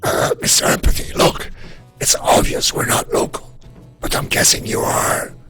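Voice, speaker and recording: A man voicing a self-important masked crime fighter with a hushed, breathy voice in an amateur comic dub. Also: normal speed, soft background music, natural voice.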